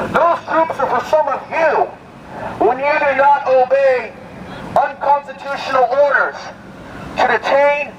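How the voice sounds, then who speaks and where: An American man giving an impassioned, emphatic political speech at an outdoor protest, declaiming through a megaphone. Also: very high-pitched. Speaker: protest speaker. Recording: muffled megaphone audio, clear outdoor street noise.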